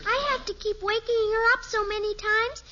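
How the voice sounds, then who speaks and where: Very high-pitched, cheeky little girl. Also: fairly even intonation, moderate pace. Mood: stubborn, grumbling. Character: cheeky, stubborn young daughter in a 1950s family. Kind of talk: 1950s radio sitcom acting. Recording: noisy, muffled vintage radio recording.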